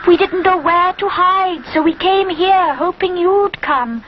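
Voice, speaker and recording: A woman's voice: very high voice, a little slow, dull audio, slight background noise, voice pushed up. A woman voicing a small, anxious wooden cuckoo in a children's storybook, pleading and plaintive.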